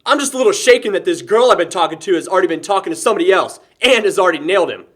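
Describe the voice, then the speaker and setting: Male actor for lovesick young guy, sarcastic and emotional, highly varied intonation, naturalistic film drama. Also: animated, very fast, fairly bright tone. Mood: hurt, enraged. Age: young adult.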